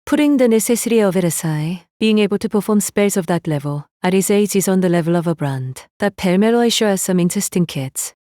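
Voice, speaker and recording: A woman's voice: mid-range voice, expressive, fairly quick, few pauses, dark tone, no echo, studio quality, lightly acted. A woman voicing a cool, self-assured female mage, musing and sardonic in a nonchalant way. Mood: impressed.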